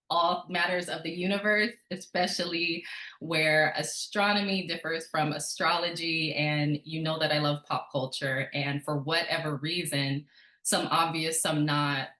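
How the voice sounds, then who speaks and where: Low-voiced woman hosting in a roomy space. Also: a bit bright. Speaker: podcast host. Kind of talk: conversational podcast interview hosting.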